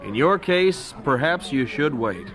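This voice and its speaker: A man playing a devout, resolute young missionary husband in a radio drama, earnest and gentle. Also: fairly high pitch, very animated, unhurried, a faint crowd. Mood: grave, sincere. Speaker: voice actor in an audio drama. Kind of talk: radio drama dialogue acting.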